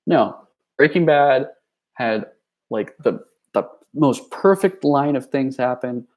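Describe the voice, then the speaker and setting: A man's voice: mid-range voice, animated, slow pace. A young man chatting casually on a livestream, rambling with lots of fillers and restarts, and reading out viewer messages. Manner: reads viewer chat messages aloud. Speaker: youtuber.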